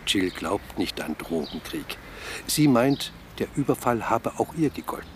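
A man's voice: fairly low pitch, big pitch swings, a little slow, a little hiss or hum. Worried, confiding man, faint ambience. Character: worried boyfriend, casual, confiding, somewhat evasive. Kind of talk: radio play dialogue.

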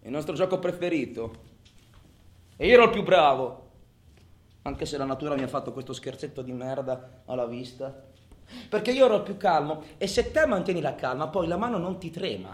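An actor playing a volatile, haunted robber who confesses in a colloquial, profane radio theatre monologue, swinging from hushed to shouting. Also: male voice, a little high, expressive, a little fast, lightly acted. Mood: brooding, boastful. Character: volatile, haunted male robber recalling his crimes. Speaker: solo actor in a radio play. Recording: echoey room with noisy hum.

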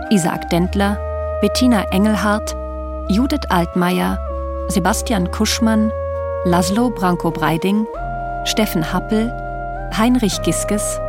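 A woman reads formal closing credits as a radio announcer. Her voice is medium in pitch and neutral, and she takes each item very slowly. Music plays clearly underneath her. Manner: measured, neutral list reading.

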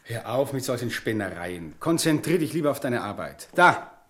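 Curt, grumpy bank clerk, average pitch. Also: male voice, very animated, moderate pace, fairly bright tone. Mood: irritated. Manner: curt, reproving, sober. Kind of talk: German radio play acting.

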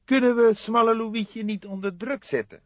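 A man voicing an agitated, eager young police detective in an audiobook, with excitable, emphatic delivery. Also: very high voice, moderate pace, no room sound, highs cut off, pitched above the performer's own voice. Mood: pressing.

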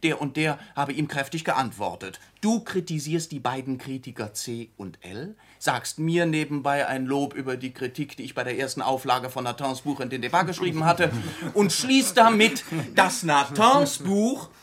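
An actor playing a worldly, cynical journalist who lectures a younger colleague in a mocking, emphatic radio drama manner. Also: male voice, mid-range voice, moderate pace, a bit bright, close to an everyday voice. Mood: cynical, instructing.

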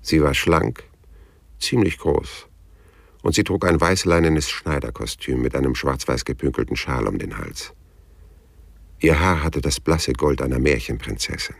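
Hard-boiled male detective narrator for radio crime drama: gravelly, laconic, very dry close studio sound. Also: very deep voice, dry recording, heavily acted. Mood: sober, reflective. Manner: measured, sober, laconic. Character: hard-boiled male private detective recounting his story.

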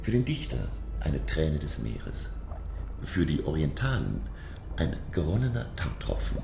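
Very deep, gravelly professor narrator. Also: male voice, big pitch swings, over music, exaggerated voice. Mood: learned, explaining. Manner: measured, reflective, erudite. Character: learned French naturalist professor narrating his sea voyage. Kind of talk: literary radio play narration and dialogue.

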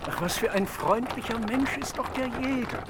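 A man's voice: very high-pitched, normal speed, very noisy. A man playing an admiring, gushing townsperson in a classic literary radio drama. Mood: gushing admiration.

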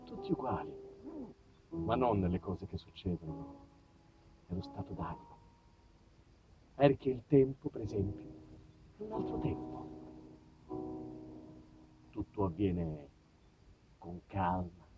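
A man with a southern Italian accent performing an expressive, theatrical comic monologue as a storyteller. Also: low-pitched, slow, long pauses. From southern Italy. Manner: expressive, acts out dialogue, shifts between hushed and shouted. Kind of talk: theatrical comic monologue about small-town life. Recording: dull, distant sound with music.

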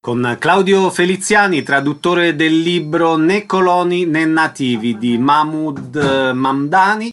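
Radio host guy, voice a bit high, short questions and announcements. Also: fairly bright tone. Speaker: radio interviewer. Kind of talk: concise radio interviewing and announcing.

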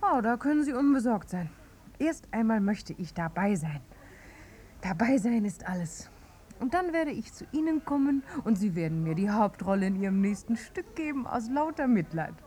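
A woman's voice: a little high, big pitch swings, moderate pace, warm tone. A woman playing a carefree, cheekily persistent young actress in a 1950s German radio play. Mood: playful, wheedling. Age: young adult. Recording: 1950s radio recording, faint noise.

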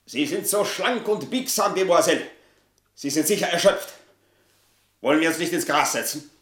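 An actor playing a sickly, scheming retired officer in a radio play, pompous and wheedling in stilted, flowery speech. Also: male voice, a little high, normal speed, a bit bright, husky. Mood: courtly, stilted. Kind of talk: German radio play acting.

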